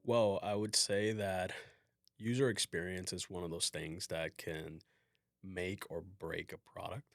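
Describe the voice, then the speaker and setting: A man hosting a casual work podcast as a design manager, explaining things in a relaxed way with frequent fillers. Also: low voice, a little slow, creaky, no echo, studio quality.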